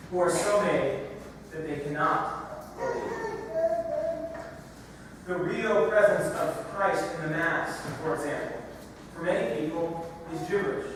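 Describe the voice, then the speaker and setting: A man giving a measured, deliberate public reading of prepared excerpts at a church service. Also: sits high. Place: large reverberant church room. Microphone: distant microphone. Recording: echoey hall, far from mic, noisy.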